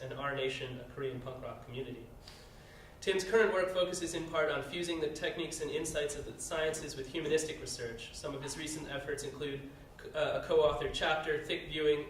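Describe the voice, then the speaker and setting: A man's voice: on the high side, slight background noise. A man moderating a conference panel, reading the speakers' bios aloud with frequent "uh" fillers. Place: conference room. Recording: very echoey room, heard from a distance.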